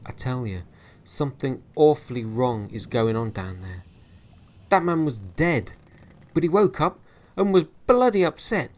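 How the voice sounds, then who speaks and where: A man's voice: medium pitch, measured pace, darkish tone, pitched above the performer's own voice. A man voicing a nervous young sailor boy who pleads earnestly. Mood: anxious.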